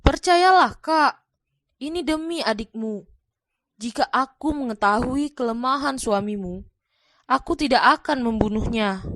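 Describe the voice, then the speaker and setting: A woman's voice: fairly high pitch, animated, unhurried, fairly bright tone, no echo. A woman voicing a desperate, pleading young man in a cartoon folktale. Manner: pleading, persuasive. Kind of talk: acted dialogue in a cartoon folktale.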